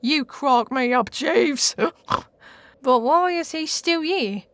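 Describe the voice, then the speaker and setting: A woman plays a mocking British lady of the house in a radio sitcom. She is slangy and brash, speaking in a high voice at an unhurried pace. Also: lively intonation, fairly bright tone, dry recording, exaggerated voice. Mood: amused mockery. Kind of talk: comic radio sitcom acting.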